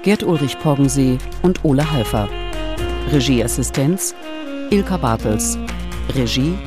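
A woman's voice: a bass voice, animated, a little slow, music in the background. A woman reading a scripted credits announcement for a radio drama.

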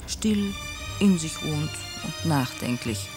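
Clear music accompanies a somewhat high male voice. It is a genteel memoirist, observant and reflective, recounting memories in a scripted radio play. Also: expressive, takes it slowly. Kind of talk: scripted recollection in radio drama.

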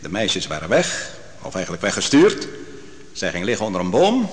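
A man's voice: sits low, wildly swooping pitch, normal speed. An actor playing a bold, righteous man who cross-examines witnesses in a biblical radio drama, commanding and probing. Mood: stern, accusing. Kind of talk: Dutch radio drama acting. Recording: long hall reverb.

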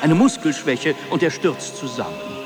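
An actor playing a contemplative sage who delivers aphorisms solemnly and reflectively in a poetic radio play. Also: male voice, on the high side, sing-song, normal speed. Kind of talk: poetic radio drama recitation. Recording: clear music under the voice.

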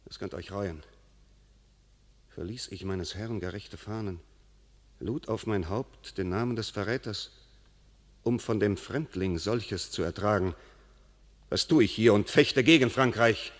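An actor playing a proud, hot-tempered duke in a classic radio play, declaiming verse with indignation. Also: male voice, lowish voice, unhurried, pauses often. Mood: indignant. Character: touchy proud duke, quick to anger. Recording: long hall reverb.

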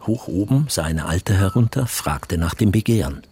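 A man narrating a literary radio drama from a script in formal, measured storytelling sentences. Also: low-pitched, animated, mellow, a little hiss or hum. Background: music.

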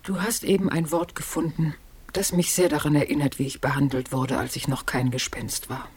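An actress playing a dead wife's ghost in a radio play, dry, sardonic and reproachful, with a husky voice. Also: female voice, low-pitched, steady tone, normal speed, darkish tone.

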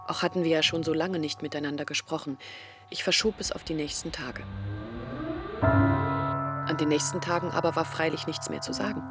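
A woman's voice: deep voice, steady tone, a little fast, pauses often, loud music behind the voice. An actress playing a young village woman who earnestly confides her family's troubles in a radio play. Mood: earnest, confiding. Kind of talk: German radio play monologue.

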